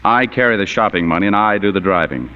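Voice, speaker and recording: An actor playing a wisecracking, hard-boiled private detective in a 1940s radio noir, with a dry, sardonic delivery. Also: male voice, on the low side, lively intonation, fast, very noisy, lightly acted. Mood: sardonic, cool. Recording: dull vintage radio broadcast recording.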